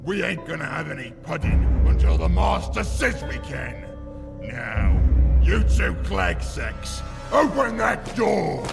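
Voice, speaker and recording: A foul-tempered monster chief acted by a man barks coarse orders at underlings. The voice is gravelly and drawn out, with big swings in pitch, over loud music in a fantasy audio drama. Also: huge pitch swings, slow, distant mic, heavily acted. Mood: irritated, commanding. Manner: barking orders, coarse insults. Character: bullying, bad-tempered monster chief of goblins.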